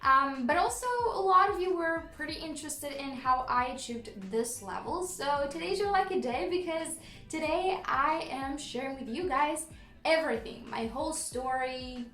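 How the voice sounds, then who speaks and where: A young woman whose first language is Romanian tells her story in English with a noticeable Romanian accent. Her voice sits high, and she chats easily, dropping in ums and small laughs. The room rings with echo, and soft music plays underneath. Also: moderate pace. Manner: chatty, laughs often, frequent ums. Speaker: YouTuber. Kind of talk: casual storytime vlog.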